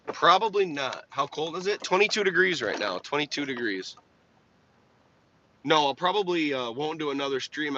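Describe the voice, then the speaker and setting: A young man with a slightly raspy voice, chatting casually on a live stream while plowing snow and answering viewers' questions. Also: medium pitch, bright, forceful tone. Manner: laid-back, rambling, reads chat aloud. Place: inside a truck while plowing. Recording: dull lo-fi stream audio with faint engine noise.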